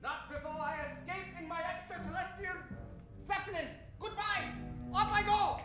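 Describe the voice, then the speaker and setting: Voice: very high-pitched, moderate pace. A performer playing a ranting, cowardly male villain in an old-time radio adventure parody, indignant and taunting. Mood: defiant. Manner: loud taunts, indignant outbursts. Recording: distant, very echoey stage, music behind.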